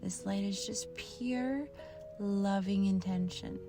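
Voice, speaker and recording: A woman narrating a guided meditation in a soft, soothing manner, with long pauses between short phrases. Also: mid-range voice. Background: meditation music.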